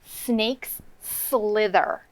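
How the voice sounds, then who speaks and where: A woman's voice: medium pitch, very slow, no room sound. An American woman teaching young children, explaining simply in a read-aloud lesson as a kindergarten co-host.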